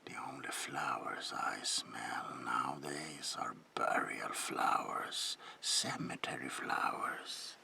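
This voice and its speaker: Creepy old vampire for asmr, sharp hissy gravelly whisper, super slow, polite but menacing. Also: male voice, rumbling low, heavily acted. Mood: wistful, eerie. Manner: slow, theatrical, lingering whisper with archaic politeness. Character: creepy old male vampire baron, courteous, eerie, menacing. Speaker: ASMR roleplay performer. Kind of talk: whispered ASMR horror roleplay.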